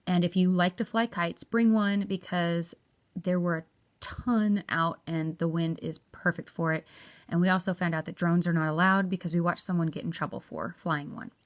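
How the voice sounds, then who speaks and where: A woman's voice: lowish voice, steady tone, normal speed. A woman with a Southern US accent, narrating a chatty, upbeat travel review in a folksy way. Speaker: travel vlogger.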